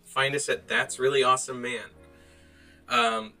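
Guy cohosting a podcast, bright voice, jokey casual chat, swears a bit, some music behind. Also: medium pitch, sing-song, measured pace, vocal fry. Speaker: podcast co-host. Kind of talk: casual, joking banter.

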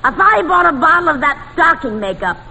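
A woman's voice: high-pitched, big pitch swings, fast, bright, forceful tone, full character voice. An actress playing a chatty, dim-witted female quiz panelist, naive and oblivious, in 1940s radio comedy style. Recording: echoey hall, faintly noisy old radio recording.

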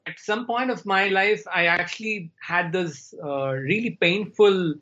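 A man, a Tamil speaker, thinking aloud as he answers. His voice sits a little high and comes through dull, lo-fi call audio. He searches for his words slowly and speaks in a measured way. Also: pushed and bright, slight background noise. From India. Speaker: guest storyteller. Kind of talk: reflective interview talk.

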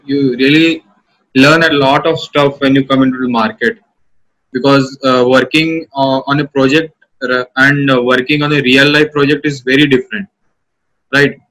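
A man hosting a relaxed one-on-one podcast, chatting and putting questions to his guest. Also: medium pitch, moderate pace, rough recording. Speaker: podcast interviewer. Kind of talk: casual interview chat.

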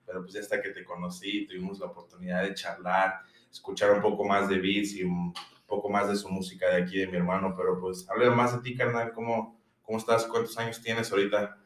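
A male podcast host with a Mexico City accent, chatting in a relaxed, slangy way. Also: low-pitched, recorded from a distance. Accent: Mexico City Spanish. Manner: relaxed slangy banter, drawn-out phrases. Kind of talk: casual podcast chat. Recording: echoey room, voice off-mic.

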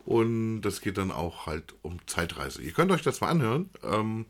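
Low-pitched man, rambling podcast chat. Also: big pitch swings, normal speed. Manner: rambling, addresses listeners directly. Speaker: podcast co-host. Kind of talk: casual chat about audio dramas.

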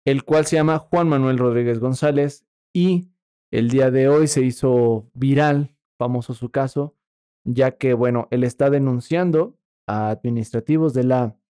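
Mellow-voiced Mexican man, crude joker. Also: medium pitch, clean tone, dry recording, studio quality. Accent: Mexican Spanish. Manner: crude joking, laughs often, slangy. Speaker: podcast co-host. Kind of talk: casual comedic political chat.

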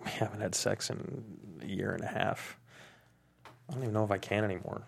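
A man playing a gravelly, halting, wounded man. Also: on the low side, exaggerated voice. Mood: ashamed. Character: wounded, self-doubting man who lost a leg. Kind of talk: dramatic table read of a screenplay.

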